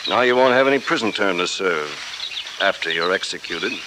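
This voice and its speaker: An American actor playing a tough, shrewd investigator hero in a 1940s radio adventure, clipped, wry and commanding. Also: male voice, sits low, expressive, brisk. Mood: cool, pressing. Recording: noisy old 1940s radio recording.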